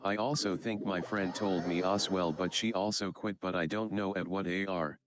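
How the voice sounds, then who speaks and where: A man's voice: low-pitched, fairly even intonation, moderate pace. A man livestreaming a game, chatting casually and offhandedly with his viewers. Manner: offhand, reads chat abbreviations aloud. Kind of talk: casual chat with viewers while gaming. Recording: game music behind the voice.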